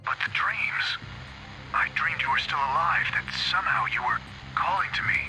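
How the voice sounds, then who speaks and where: Gruff human fighter, plainspoken, expressive, defiant, reverberant, loud music, game drama. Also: male voice, fairly high pitch, normal speed. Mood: shaken, yearning. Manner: plainspoken, emotional, sardonic. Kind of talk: dramatic video game cutscene acting.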